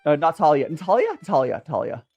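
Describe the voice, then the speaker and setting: A young man with a Southern Californian accent talks casually to his live chat, rambling and joking at his own expense. His pitch swings up and down a lot as he goes. Soft music plays faintly behind him. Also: very animated, quick pace, breathy edge, dull audio. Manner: rambling, chatty, self-mocking, many restarts and fillers. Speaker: streamer hosting a tea chat. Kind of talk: casual livestream chat with viewers.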